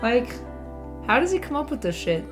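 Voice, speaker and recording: A woman's voice: on the high side, big pitch swings, moderate pace, music in the background. Young woman streamer, sore voice. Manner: chatty, playful, talks to chat. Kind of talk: casual live-stream chat while gaming. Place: home streaming room. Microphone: desk mic set back.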